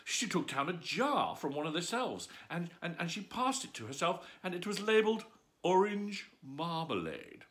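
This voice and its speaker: Brief: theatrical man reading a bedtime story, bright tone, wildly varied pitch. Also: huge pitch swings, normal speed. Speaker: storyteller host.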